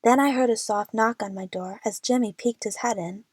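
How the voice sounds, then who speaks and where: Woman as lovestruck, nervous young female narrator for audio drama; higher-pitched, earnest, very dry sound. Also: normal speed, breathy edge, no room sound. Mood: anxious. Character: young female movie fan, anxious, lovestruck, narrating her adventure.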